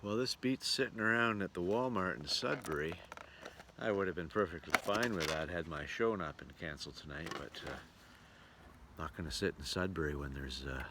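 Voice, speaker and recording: Very deep-voiced man, casual video diary. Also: normal speed. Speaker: touring radio-show entertainer and vlogger. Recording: outdoor recording with clear background noise.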